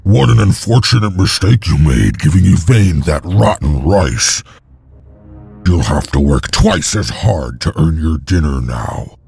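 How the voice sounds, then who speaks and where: An actor playing a cruel, scheming overseer in a video game, with a gravelly, menacing voice. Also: male voice, very deep voice, lively intonation, measured pace, a little mellow, full character voice. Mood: sneering menace. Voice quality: gravelly, husky. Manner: menacing, swooping between sneer and bellow. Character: cruel bullying slave-driver overseer, male, scheming and threatening. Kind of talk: video game cutscene acting. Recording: music behind.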